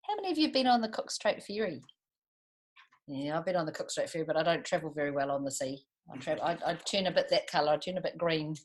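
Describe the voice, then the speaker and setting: A woman with a New Zealand accent reading a picture book aloud to children, expressively, with chatty asides. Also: lowish voice. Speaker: storytime reader for children.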